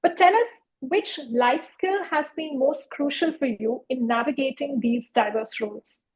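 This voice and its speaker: A woman's voice: high voice, measured pace, poor audio. A woman hosting a youth panel as moderator, speaking in an effusive, encouraging way.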